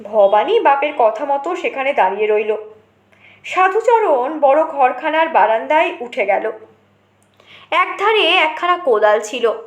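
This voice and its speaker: A woman with a high voice reads a dramatic story as an audiobook narrator. Her delivery is expressive and theatrical. The recording is roomy, with a noticeable echo around the voice.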